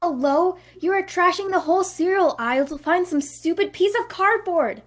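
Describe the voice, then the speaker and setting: A woman's voice: very high voice, normal speed. A woman voicing an exasperated, sarcastic young girl who scolds, in an amateur cartoon table read. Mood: scolding. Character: exasperated, sharp-tongued young girl cousin.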